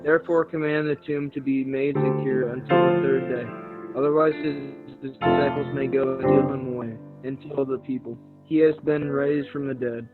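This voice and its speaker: A man's voice: medium pitch, flat delivery, normal speed, lightly acted. Man reading as a scornful chief priest, tinny line. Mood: wary, formal. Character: mocking, suspicious chief priests and elders. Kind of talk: readers theater scripture reading. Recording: tinny phone-like sound, loud music behind. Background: loud plucked guitar music.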